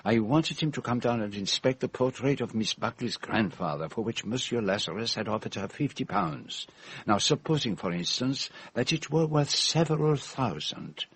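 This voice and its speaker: An actor playing a shrewd, theatrical middle-aged detective with a Belgian French accent, speaking in formal, emphatic phrases in a radio drama. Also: male voice, medium pitch, animated, normal speed, vocal fry, a bit bright, husky, heavily acted. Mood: musing. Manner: emphatic, precise, formal phrasing with rhetorical questions.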